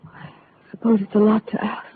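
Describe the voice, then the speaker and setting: A woman's voice: medium pitch, little pitch movement, moderate pace, husky. An actress playing a troubled, wistful married woman in an old-time radio mystery, earnest and restrained in her melodrama. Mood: uneasy. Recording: muffled, noisy old-time radio recording.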